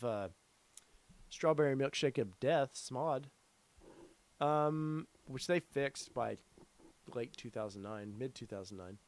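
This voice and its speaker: A man's voice: mid-range voice, no echo. A middle-aged man hosting a casual live stream, reading out viewer comments with lots of fillers, his voice getting tired and worn. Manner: rambling, many fillers, reads chat aloud. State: losing his voice. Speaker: podcast host.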